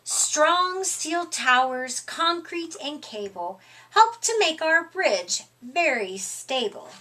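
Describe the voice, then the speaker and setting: A middle-aged woman reading a picture book aloud to young children in an expressive, deliberate, emphatic way. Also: sits high. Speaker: storytime reader. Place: small furnished room indoors.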